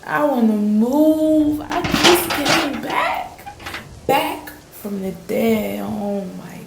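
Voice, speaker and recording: A woman playing a dramatic, awestruck storm survivor, a fisher, in a radio play with drawn-out, emphatic delivery. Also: high-pitched, big pitch swings, vocal fry, background noise, exaggerated voice. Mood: euphoric, awestruck. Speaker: voice actor. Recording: echoey, with music underneath.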